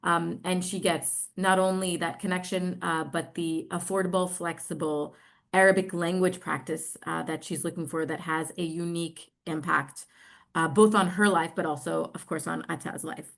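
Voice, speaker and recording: Lower-voiced American woman, halting presenter. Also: fairly even intonation, vocal fry. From New York, United States. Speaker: social enterprise representative presenting. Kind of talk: online presentation.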